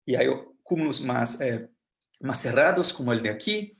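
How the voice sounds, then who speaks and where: A man's voice: medium pitch, lively intonation, normal speed, gravelly, fairly bright tone. A man in his thirties from Brazil, a native Portuguese speaker with a heavy Portuguese accent, giving an astronomy lecture over a video call, explaining plainly with many fillers and restarts. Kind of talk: science lecture.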